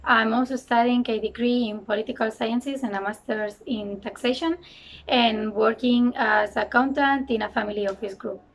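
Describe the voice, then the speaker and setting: Catalan woman, 22, fairly high voice with gravelly fry, hesitant interviewee. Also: fairly even intonation, moderate pace, poor audio. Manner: hesitant, with filler sounds. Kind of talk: personal statement.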